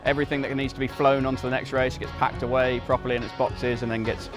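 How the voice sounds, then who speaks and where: A man on a team crew speaking in a flat, matter-of-fact way, his pitch barely moving. Clear music plays beneath his voice. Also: fast. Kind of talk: interview answers.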